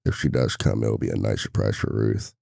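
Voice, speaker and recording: A man playing a devoted, worried single-dad boyfriend in an intimate ASMR roleplay, confiding softly with a gravelly voice. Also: fairly quick, dry recording, clean studio sound, exaggerated voice. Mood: worried, venting. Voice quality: very deep, gravelly.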